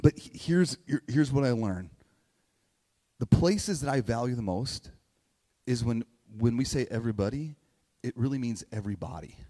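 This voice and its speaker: A man's voice: mid-range voice, lively intonation, normal speed, warm tone. A man in his forties, a pastor, gives an informal, conversational sermon, often repeating phrases and checking in with his listeners. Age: 40s. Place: large reverberant indoor room, church gathering. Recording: echoey hall with long reverb.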